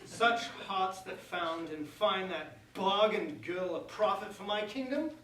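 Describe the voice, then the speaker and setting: Man playing a pompous high-voiced prince, echoey. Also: measured pace, bright, forceful tone. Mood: livid, scornful. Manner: declamatory, theatrical, formal verse speech. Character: pompous, bitter, exiled young prince. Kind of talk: staged reading of verse comedy. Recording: distant mic, echoey room, faint noise.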